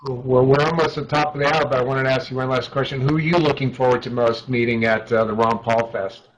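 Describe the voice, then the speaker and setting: A man hosting a casual radio interview, asking relaxed, easygoing questions. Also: medium pitch, fast, dull audio. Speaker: radio host.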